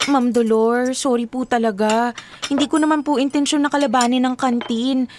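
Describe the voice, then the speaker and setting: A woman's voice: sits high, steady tone, moderate pace, close to an everyday voice. A young woman with a Filipino accent playing a loyal, defensive college student in a conversational radio drama. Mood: flustered, apologetic. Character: loyal, defensive female college student selling food for friend.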